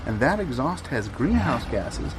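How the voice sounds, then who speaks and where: Pompous, pedantic debate guest played by a man, mid-pitched, condescending, clear vehicle and outdoor noise behind him. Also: sing-song. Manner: digressive, condescending, deliberate. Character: pedantic, self-important male debate veteran. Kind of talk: improvised comedy audio theatre.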